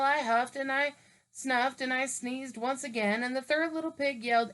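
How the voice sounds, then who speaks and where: A woman's voice: high voice, heavily acted, poor audio. A woman reading aloud as a male wolf telling his side, mock-innocent and indignant. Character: wolf, male, grumbling sly self-pitying narrator.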